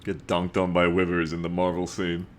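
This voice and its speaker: A man's voice: sits low, monotone, moderate pace, a little hiss or hum. A man chatting casually as a game streamer, blunt and joking with some swearing.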